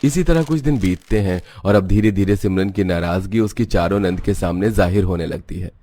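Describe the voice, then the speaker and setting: A man's voice: low voice, a little fast, warm tone. A man reading scripted narration for a cartoon story as its storyteller. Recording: music behind the voice.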